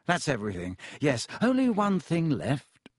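Man doing a pompous vain wizard, slow drawl, condescending, sing-song. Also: fairly high pitch, wildly swooping pitch, no echo, studio quality, exaggerated voice. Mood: smug. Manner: smooth, self-satisfied, condescending. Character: vain, pompous fraud of a male wizard teacher.

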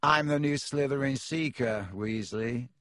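A man's voice: mid-range voice, unhurried, fairly bright tone, no echo, close to an everyday voice. Man as sneering rich schoolboy, smug drawl, spiteful, audiobook character, dry sound.

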